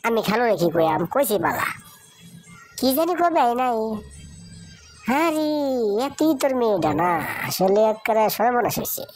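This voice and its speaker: A woman's voice: on the high side, sing-song, normal speed, long pauses. A woman voicing a chatty young working woman in a village cartoon, with a familiar manner and rural Bangladeshi regional Bengali. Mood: concerned gossiping. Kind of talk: cartoon dialogue in colloquial dialect. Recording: music behind the voice. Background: music.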